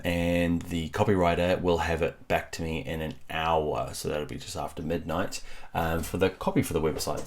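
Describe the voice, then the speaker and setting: Kiwi man, very low deep voice, hushed and rambling, casual web tutorial host. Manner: speaks softly, rambling with many fillers. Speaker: web developer. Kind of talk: casual screen-recorded tutorial. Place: home at night while family sleeps. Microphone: computer mic while screen recording.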